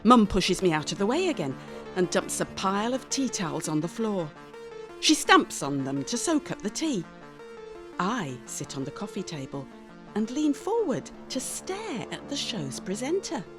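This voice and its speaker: A woman with a British accent reading a first-person story dramatically, with an expressive, wry, exasperated manner. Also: mid-range voice, normal speed, music in the background. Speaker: story reader.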